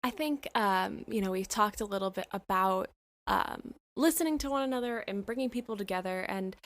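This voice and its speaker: A woman's voice: medium pitch, a bit bright. A woman co-hosting a podcast, asking long, rambling interview questions with hesitations. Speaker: podcast co-host.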